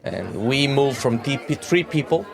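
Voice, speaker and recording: Guy around 30 being interviewed, mid pitch, stumbles and repeats words a lot. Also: expressive, creaky, a crowd in the background. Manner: halting, often repeats words. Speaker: watch brand executive being interviewed. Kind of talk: interview answers.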